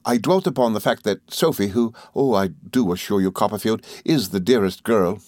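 A man's voice: lowish voice, moderate pace, darkish tone, no echo, studio quality, close to an everyday voice. A man voicing an earnest, cheerful, modest young lawyer in an audiobook, hesitant and effusively polite as he confides. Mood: earnest, rambling. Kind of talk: audiobook character dialogue.

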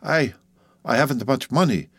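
A man's voice: medium pitch, a little fast, dry recording, studio quality, pitched above the performer's own voice, lightly acted. A man voicing a poor, frantic immigrant husband who pleads breathlessly and stammers in his agitation. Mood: anxious, stammering.

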